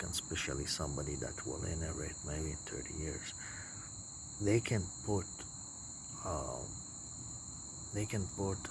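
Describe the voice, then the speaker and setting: Romanian guy w really low voice rambling into a recording, halting, noisy bg w crickets chirping. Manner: halting, repetitive, disjointed. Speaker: self-styled religious messenger recording a video monologue. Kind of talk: rambling off-the-cuff monologue. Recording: clear background noise, chirping insects.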